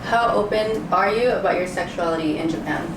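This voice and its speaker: A woman hosts and moderates casually in a slightly low voice. Her voice is echoey, with clear noise in the background. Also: fairly quick, recorded from a distance. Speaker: host and interviewer. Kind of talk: casual moderated group interview.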